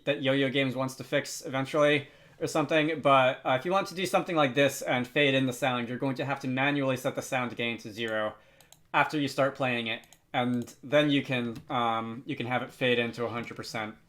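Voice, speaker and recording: A man narrating an unscripted software tutorial, thinking aloud with frequent hesitant pauses. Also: mid-range voice, fairly even intonation. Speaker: tutorial host.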